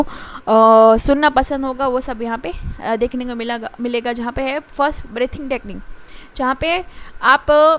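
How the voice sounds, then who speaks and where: A woman with an Indian accent delivers a lecture in a high voice. She halts often on fillers and restarts her words. English passages come out slow and deliberate, as if read off slides. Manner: halting, frequent filler pauses and restarts. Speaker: teacher. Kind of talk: mixed-language lecture reading from slides.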